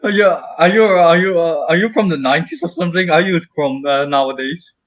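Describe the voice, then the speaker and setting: A man in a casual online chat, speaking haltingly and often stammering over repeated words, on dull call audio. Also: fairly high pitch. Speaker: video co-host.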